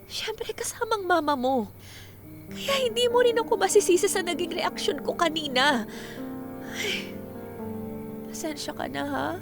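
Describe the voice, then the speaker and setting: A woman's voice: extremely high pitch, animated, measured pace, long pauses, music in the background, full character voice. A woman playing an outspoken, caring middle-aged auntie in a Filipino radio drama, with a blunt, nagging manner. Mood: apologetic.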